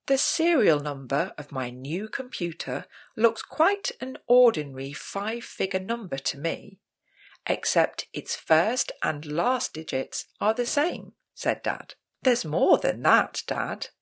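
Female pub quiz host, scripted read, unhurried pace with animated rises and falls. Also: on the low side, breathy edge.

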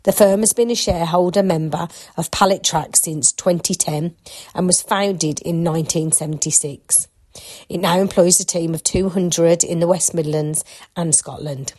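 A woman's voice: on the low side, steady tone, normal speed, a little mellow. A woman reading newspaper articles aloud, a little stuffed up and short of breath from a cold, with plain asides describing the photos. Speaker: newspaper reader for listeners.

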